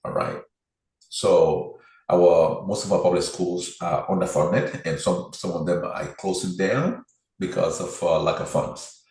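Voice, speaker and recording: Very deep-voiced man, echoey. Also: unhurried, gravelly, darkish tone. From Liberia. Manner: earnest, determined, methodical. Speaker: political candidate. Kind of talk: interview answers, campaign pitch. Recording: very echoey room.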